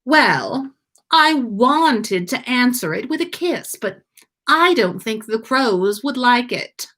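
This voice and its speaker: A woman's voice: a little high, very animated, takes it slowly, a bit bright, pitched above the performer's own voice, heavily acted. A woman reading a storybook part as a guarded, prickly young man with a dry, teasing manner. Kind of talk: storybook read-aloud of fan fiction.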